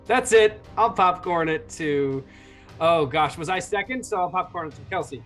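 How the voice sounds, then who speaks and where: A man's voice: on the high side, animated, moderate pace, a bit bright. A man rambling and joking casually as a player on a roleplaying stream. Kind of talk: casual chat, self-introduction. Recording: faint guitar music behind. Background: guitar music.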